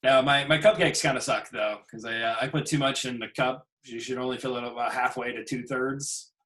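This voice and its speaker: A man, an instructor, chatting casually with his students on a video call, relaxed and chuckling as he trails off. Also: mid-range voice, fairly quick. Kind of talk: casual class meeting chat. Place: home.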